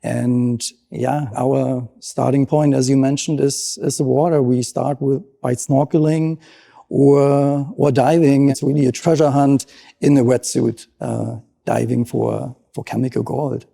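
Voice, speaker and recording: A man's voice: mid-range voice, fairly even intonation, unhurried, vocal fry, mellow. A middle-aged man with a German accent, a marine scientist explaining his work in an interview with earnest enthusiasm.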